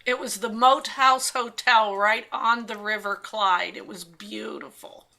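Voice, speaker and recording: A woman's voice: mid-range voice, fairly even intonation, a little slow, gravelly, bright, forceful tone. A woman from the United States chatting casually as a co-presenter and adding amused asides. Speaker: guest, co-presenter. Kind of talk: casual chat.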